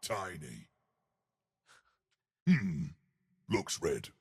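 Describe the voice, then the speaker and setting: An actor playing a giant, cheerful living stone colossus in a video game, good-natured and dim, with a gravelly, husky, booming voice and a hearty, emphatic delivery. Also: male voice, very animated, very slow, a little mellow, heavily acted. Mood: puzzled, musing. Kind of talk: video game character voice lines.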